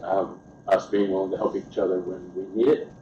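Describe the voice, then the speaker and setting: Low-voiced administrator, plainspoken and halting. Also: male voice, flat delivery, background noise. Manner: grateful, plainspoken, halting restarts. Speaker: school administrator thanking staff. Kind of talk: informal remarks at a meeting. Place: school board meeting room. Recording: echoey, dull meeting-room sound.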